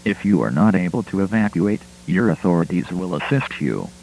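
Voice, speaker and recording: A man's voice: low voice, lively intonation, fairly quick, a little mellow, slight background noise, rough recording. A man reading a scripted civil emergency alert in a formal, impersonal manner.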